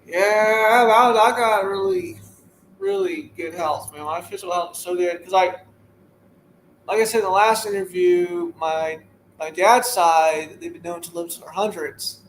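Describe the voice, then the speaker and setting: A man's voice: high voice, unhurried, echoey room, slight background noise, rough recording. A man in his thirties talking casually as a podcast guest, earnest and repetitive as he gives a motivational message. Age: 30s. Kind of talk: casual interview talk.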